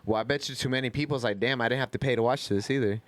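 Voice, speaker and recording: A man's voice: medium pitch, racing. A man talking in a casual, rambling podcast style, full of slang and cursing. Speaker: podcast co-host.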